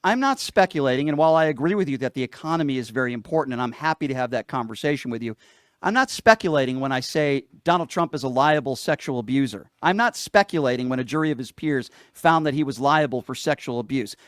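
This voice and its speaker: A man's voice: medium pitch, lively intonation, quick pace, fairly bright tone, no echo, studio quality. A man hosting a live call-in show, debating politics in an argumentative, insistent way and repeating phrases for emphasis.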